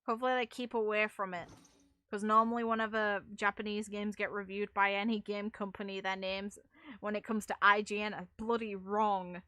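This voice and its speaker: A woman's voice: medium pitch, little pitch movement, normal speed, pushed and bright, no echo. A British woman chatting casually while streaming a game, with a blunt, opinionated manner and some mild swearing.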